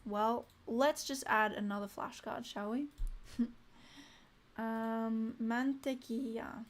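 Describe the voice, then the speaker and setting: A woman's voice: fairly high pitch, fairly even intonation, slow pace. A young woman on a casual live stream, reading vocabulary words aloud one by one, muttering asides to herself and chatting cheerfully with viewers. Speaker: language learner streaming live.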